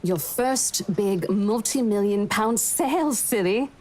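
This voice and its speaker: A woman's voice: mid-range voice, slow, darkish tone, a little hiss or hum, natural voice. An actress playing a confident senior female estate agent in a visual novel game. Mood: teasing.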